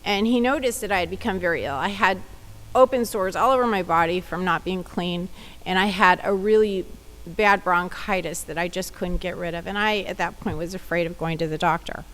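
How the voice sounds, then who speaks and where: A woman's voice: on the low side, fairly quick, a bit bright, rough recording. A woman community advocate giving plain personal testimony at a public hearing, telling her story in the first person. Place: government hearing room.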